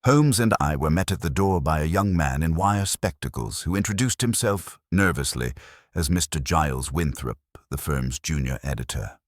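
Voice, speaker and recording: A man narrating a scripted detective mystery in a measured, dramatic storytelling manner. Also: rumbling low, lively intonation, no room sound, studio quality.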